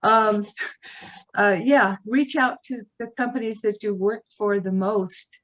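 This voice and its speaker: A woman co-hosting a video call, giving practical advice while losing her voice and clearing her throat. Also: medium pitch, steady tone, slow, a bit bright, poor audio. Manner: practical, many fillers. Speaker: expert co-host.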